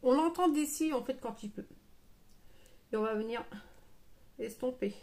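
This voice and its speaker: A woman's voice: on the high side, unhurried, mellow. A woman giving a casual live make-up tutorial, chatting familiarly with her viewers and throwing in playful asides. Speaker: live make-up streamer and beauty seller.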